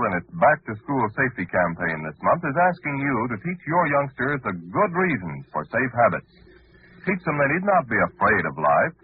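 A man's voice: medium pitch, slight background noise. A man announcing in an emphatic, dramatic, scripted 1940s radio style. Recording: muffled old radio broadcast.

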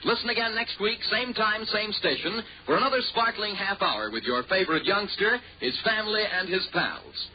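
A man's voice: high voice, animated, moderate pace, hardly any pauses. A man announcing a 1940s radio show in a polished, upbeat delivery. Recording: muffled 1940 radio recording, hiss.

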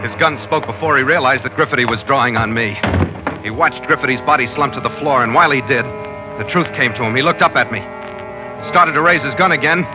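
An actor with an American accent playing a steady, wry ship's captain who narrates his adventures in an old radio drama. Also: mid-range voice, brisk, close to an everyday voice. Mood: tense narration. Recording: dull old radio recording, music behind.

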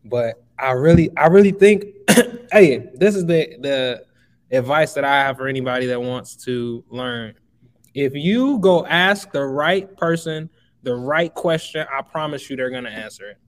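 A man's voice: mid-range voice, lively intonation, a little slow. A man in his twenties from Chicago, a guest on a podcast, talking emphatically and giving motivational advice. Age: 20s. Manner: emphatic, motivational, calls the listener bro. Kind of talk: casual podcast interview.

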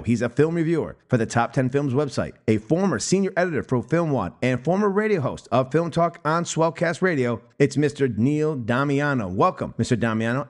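Animated irreverent male podcast host. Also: mid-range voice. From upstate New York. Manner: animated, irreverent, laughs easily. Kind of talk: casual podcast chat.

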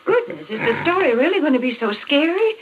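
A woman announcer delivering a chatty, genteel scripted sales pitch in 1940s radio style. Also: very animated, fairly quick, over loud music. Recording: muffled, narrow vintage radio broadcast.

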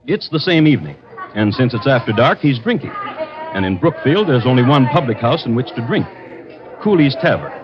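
A man narrating a scripted true-crime radio drama as host, with a wry, dramatic, deliberate storytelling manner. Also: medium pitch, big pitch swings, a crowd in the background. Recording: dull vintage radio recording.

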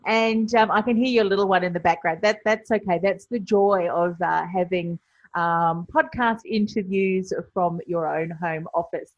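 A woman's voice: lowish voice, fairly even intonation, normal speed, no room sound, muffled recording. A woman hosting a podcast interview from her home office, affirming her guest often and asking open questions. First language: English. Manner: warm affirmations, frequent backchannel. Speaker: podcast host.

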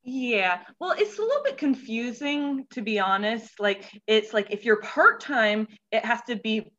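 A young woman in her twenties speaks as an interview guest in a bright, forward voice. She talks in a candid, informal way about herself, often checking her own words. The audio is slightly dull and lo-fi. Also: a little high, expressive, a little slow. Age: 20s. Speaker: children's pastor, interview guest. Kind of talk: casual interview chat.

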